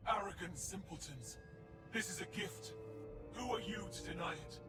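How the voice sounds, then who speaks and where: Man acting a haughty high-pitched alien zealot priest. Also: moderate pace, recorded from a distance, lightly acted. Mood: haughty, fervent. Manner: pompous, grandiose, declaiming. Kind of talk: video game cutscene acting. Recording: off-mic, loud music behind.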